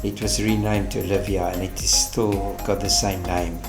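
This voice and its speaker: A man's voice: low voice, level tone, normal speed, music in the background. A man in his fifties, an Afrikaans speaker, chatting unhurriedly about his watercolor painting, with plenty of fillers and restarts. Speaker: watercolor artist. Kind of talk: relaxed travel and painting vlog. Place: living room, indoors.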